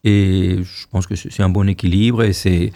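A man in his sixties from Argentina, whose first language is Hebrew, telling stories slowly as a choir director being interviewed, often restarting his phrases. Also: low voice, flat delivery, gravelly, dark tone, dry recording. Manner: unhurried storytelling, repeats and restarts phrases. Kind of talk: radio interview.

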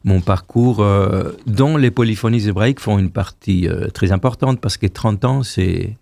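This is a man in his sixties from Argentina whose first language is Hebrew. He speaks in a gravelly, mellow, fairly low voice and tells his story unhurriedly, restarting phrases as he goes. He is recorded dry and close. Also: a little slow, no room sound. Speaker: choir director, guest. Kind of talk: radio interview.

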